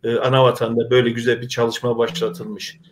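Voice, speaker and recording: A middle-aged man, hosting an online community show in an earnest, halting, polite way. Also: medium pitch, completely flat delivery. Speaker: host of a community video show. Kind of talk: informal presenting to an online audience.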